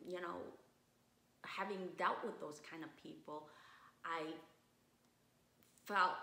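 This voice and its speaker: Woman from Vietnam, halting and thoughtful. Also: sits low, gravelly, bright, forceful tone. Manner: thoughtful, halting, with many restarts. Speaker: interview guest. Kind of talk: casual conversation. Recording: roomy echo.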